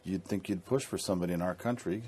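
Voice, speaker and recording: A man's voice: sits low, fast, darkish tone, slightly rough. A man co-hosting a casual interview, teasing and cracking wry jokes.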